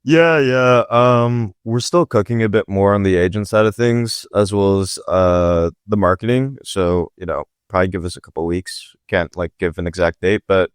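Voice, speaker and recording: Casual founder type, man, low and smooth, lots of "like", dry close sound. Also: moderate pace, hardly any pauses, no echo. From Pakistan. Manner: casual, filler-heavy, lots of "like" and "right?". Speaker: guest, startup founder. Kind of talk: casual podcast interview.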